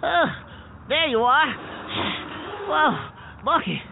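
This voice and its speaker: A man's voice: very high voice, animated, slow, gravelly, pitched above the performer's own voice, heavily acted. A man voicing an easygoing male garage mechanic who rambles and hesitates. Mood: puzzled. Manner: rambling, hesitant, full of filler. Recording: tinny phone-like audio with noise.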